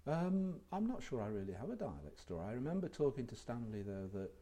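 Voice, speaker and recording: A man's voice: mid-range voice, very animated, quick pace, dark tone. An older man, a former university lecturer, recalling his career in a reflective, hesitant way full of ums. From England. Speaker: retired lecturer being interviewed. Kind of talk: oral history interview.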